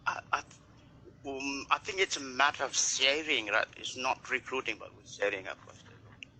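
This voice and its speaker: Nepal-born man, network marketer, earnest and emphatic, bright edge, faint room noise. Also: mid-range voice, normal speed, rough recording. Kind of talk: casual group chat.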